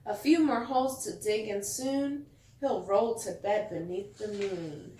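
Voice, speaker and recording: A woman reading a bedtime story aloud to children like a teacher, in an expressive sing-song way that stresses the rhymes. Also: mid-range voice, measured pace, slight background noise. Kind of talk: bedtime story read-aloud with asides to young listeners. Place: echoey indoor room. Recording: echoey room, distant mic.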